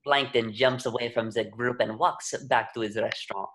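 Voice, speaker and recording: A man reads out stage directions as narrator. His voice sits at a medium pitch, describing the action from a script. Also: steady tone, normal speed, a bit bright.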